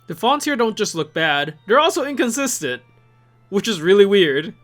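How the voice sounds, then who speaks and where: Man, high-pitched voice, swooping animated intonation, conversational reviewer, opinionated, music behind. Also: moderate pace. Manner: opinionated, conversational, with rhetorical questions. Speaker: video host and reviewer. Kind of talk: casual video commentary and critique. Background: upbeat music from the videos being reviewed.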